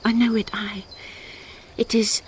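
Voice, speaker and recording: An actress playing a tender, pleading young woman in love, soft and poetic, in a radio Shakespeare drama. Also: on the high side, flat delivery, a little slow, noisy background, natural voice.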